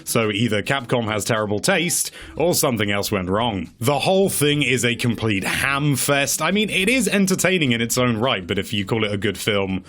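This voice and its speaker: A man with a very lively, swinging pitch delivers casual commentary as a video presenter. He sounds chatty and wry, with a tongue-in-cheek edge to his remarks. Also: moderate pace, few pauses.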